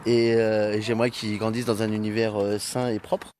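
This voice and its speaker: A man's voice: lowish voice, little pitch movement, moderate pace, darkish tone. A man giving a hesitant, unscripted answer in a street interview. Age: adult. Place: outdoors in town, traffic behind. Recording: street recording with faint traffic noise.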